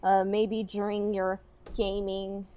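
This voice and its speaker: A woman's voice: mid-range voice, little pitch movement, takes it slowly, dull audio. A woman co-hosting a casual podcast chat, supportive and lightly teasing. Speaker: podcast co-host.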